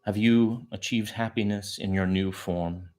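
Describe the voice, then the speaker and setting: A man voicing an elderly, kindly holy hermit in a storybook tale, gentle and concerned, often repeating his first words. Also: lowish voice, fairly even intonation, a little slow, close to an everyday voice. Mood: caring, curious. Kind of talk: storybook folk tale character.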